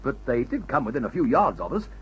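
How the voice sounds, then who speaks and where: A man's voice: mid-range voice, big pitch swings, quick pace, fairly bright tone, husky, faint music behind, full character voice. An actor playing an earnest, matter-of-fact young airman in a mid-century radio adventure serial. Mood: amused, matter-of-fact. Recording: muffled old radio serial recording.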